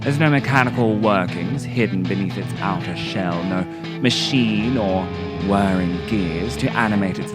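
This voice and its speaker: Low-voiced man narrating theatrically over music. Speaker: video essay narrator. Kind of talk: scripted narration, dramatic horror-tinged monologue and video essay.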